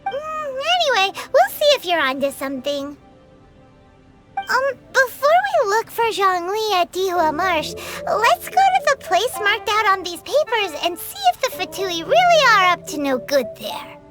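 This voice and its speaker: A bubbly, greedy little fairy companion, piping in a very high voice that leaps about. She drawls slowly and calls herself by her own name. Game music plays behind her. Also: big pitch swings, heavily acted. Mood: doubtful. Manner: bubbly, speaks of herself in third person. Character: tiny floating female fairy companion, chirpy and greedy. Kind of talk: video game dialogue.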